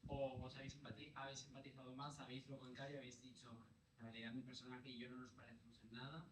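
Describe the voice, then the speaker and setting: Audience guy asking a question, husky flat voice, talks fast, keeps rephrasing. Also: mid-range voice, low-quality recording.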